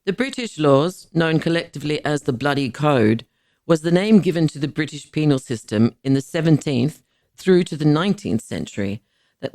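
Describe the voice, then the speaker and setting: A woman reading scripted documentary narration in a measured, explanatory way, stressing key words. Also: sits low, a little mellow, no echo, clean studio sound. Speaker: podcast host and narrator.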